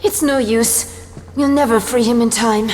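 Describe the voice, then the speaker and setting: Resolute young Frenchwoman of the resistance, female actor, fairly high voice, reverberant game cutscene. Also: steady tone, moderate pace, darkish tone, soft background music, close to an everyday voice. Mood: sorrowful. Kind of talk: video game cutscene acting.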